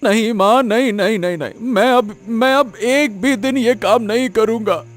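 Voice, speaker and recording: A man's voice: sits high, normal speed, soft background music, lightly acted. A man playing a naive, honest village vegetable seller in a cartoon, earnest and plaintive, often repeating himself. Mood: hurt, pleading. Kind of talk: Hindi cartoon moral story acting.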